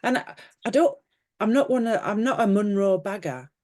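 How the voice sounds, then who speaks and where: A woman's voice: mid-range voice, normal speed, darkish tone. A woman, an author chatting as a guest on a video call interview, with many fillers and restarts. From Yorkshire, England. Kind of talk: casual conversational interview.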